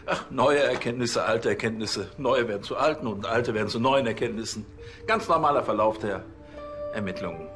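An actor playing a fussy, exasperated police chief, stammering and pedantic in a TV police comedy. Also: male voice, mid-range voice, normal speed, a bit bright, faint music behind, natural voice. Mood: musing.

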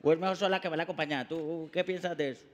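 A man's voice: a little high, fairly even intonation, quick pace, in a large hall. A middle-aged man hosting a comic talk show, teasing and playful in a jumpy way. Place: TV studio set.

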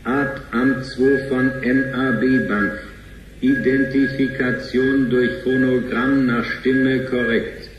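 A man's voice: mid-range voice, flat delivery, slow, lightly acted. A man playing a formal bank computer in a sci-fi radio play, speaking in clipped, emotionless procedural announcements. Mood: impassive. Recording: muffled, echoing, music behind.

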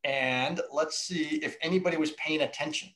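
A man's voice: fairly high pitch, normal speed, pushed and bright, low-quality recording. A middle-aged American man hosting casually and chatting over a video call. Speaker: host of a virtual wine tasting. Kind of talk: casual chat. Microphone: video call microphone.

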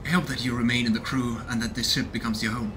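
A man's voice: medium pitch, fairly even intonation, quick pace. Musing male gamer over noisy game ambience. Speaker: let's play host. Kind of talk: casual game commentary and reading game text aloud. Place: small home room indoors. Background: video game ambience.

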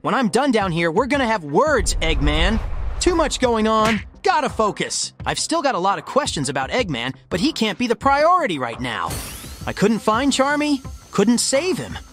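Young cartoon hero hedgehog, male actor: slangy, earnest, animated pitch, faint music underneath. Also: normal speed. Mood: determined. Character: young heroic hedgehog, cocky but worried. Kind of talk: dramatic comic dub. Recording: music behind the voice.

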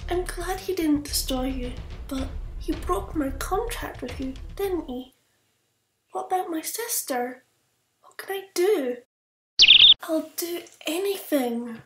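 A woman's voice: sits high, animated, slow, heavy background noise. A woman playing a feisty, girlish young princess with an emphatic, petulant delivery in a comic fandub. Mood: hushed, worried. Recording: roomy echo, faint music behind.

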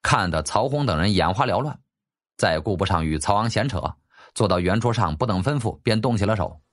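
Male audiobook narrator for historical fiction, expressive, very lively intonation, slightly low register. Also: brisk. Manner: expressive, storytelling delivery. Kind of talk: dramatic scripted narration of a historical web novel.

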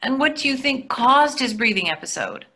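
A woman's voice: high-pitched, big pitch swings, moderate pace, sharp tone, full character voice. An older woman reading the part of a practical, exasperated wife in a stage play, dry and matter-of-fact. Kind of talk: readers theatre play reading. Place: plain room indoors, video call.

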